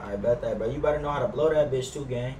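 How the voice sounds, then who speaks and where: A man's voice: mid-range voice, quick pace, roomy sound, far from the mic, noisy background. A young American man live-streaming a roleplay game on a headset, with brash, slangy, profane trash talk. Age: young adult. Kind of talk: casual gaming banter with slang and profanity. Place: indoor gaming setup. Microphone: gaming headset.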